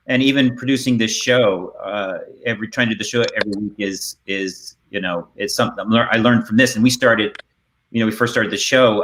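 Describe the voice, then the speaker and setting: Guy hosting a chat on a video call, kinda rambles and restarts, middling pitch. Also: a bit bright. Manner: halting, rambling, repeats words. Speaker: host and theater director. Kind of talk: casual interview over video call. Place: indoor room on a video call.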